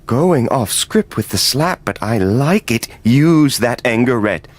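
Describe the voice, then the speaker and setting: An actor playing an eccentric, unflappable film director with a pretentious, earnest artistic way of talking in a game cutscene. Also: male voice, mid-range voice, lively intonation, measured pace, a little hiss or hum, close to an everyday voice. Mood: intrigued. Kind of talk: comedic video game cutscene acting.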